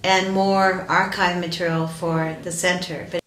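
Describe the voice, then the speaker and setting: Middle-aged lady professor giving interview, lowish voice, roomy echo, slight noise. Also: level tone, measured pace, a bit bright. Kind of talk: spontaneous interview answer.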